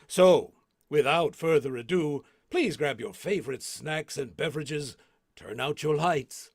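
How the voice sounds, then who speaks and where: A man presenting a scripted theatrical introduction as a showman, with dramatic pauses. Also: fairly high pitch, no echo, studio quality. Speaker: presenter and cast member.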